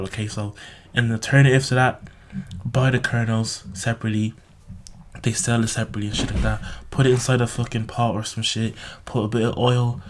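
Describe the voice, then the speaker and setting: A man with a slightly raspy, sore-throated voice giving casual, unscripted advice to viewers, bluntly, with heavy swearing, repetition and frequent "okay?" tags. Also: lowish voice, slight background noise. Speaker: self-improvement video host.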